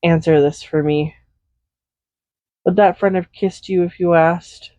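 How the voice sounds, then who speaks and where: A man acts out a tender, possessive villain who soothes and coaxes a captive loved one in an intimate audio drama. His voice is mellow and a little high for a man. It is recorded very dry and close, with a slightly dulled sound. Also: fairly even intonation, a little slow, no echo, muffled recording, natural voice. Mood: earnest, seductive. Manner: soothing, coaxing, gently reassuring. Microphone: close USB condenser microphone.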